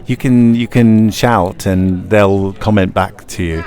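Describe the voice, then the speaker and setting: A man's voice: on the low side, steady tone, slow, darkish tone. A man, a pantomime actor, chatting playfully and encouragingly in an interview. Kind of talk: casual interview chat.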